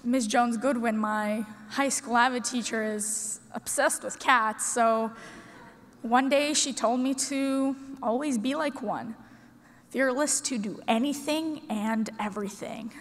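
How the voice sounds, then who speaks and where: A sixteen-year-old girl from Russia giving an earnest, prepared speech at a podium in a large conference hall, with deliberate dramatic pauses. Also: a little high. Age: teens. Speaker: student speaker. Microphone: podium microphone. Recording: long hall reverb.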